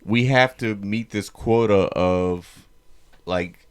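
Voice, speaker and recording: A man hosting a podcast, talking in a laid-back, halting way and asking questions. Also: on the low side, clear, resonant tone. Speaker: podcast host. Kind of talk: casual chat.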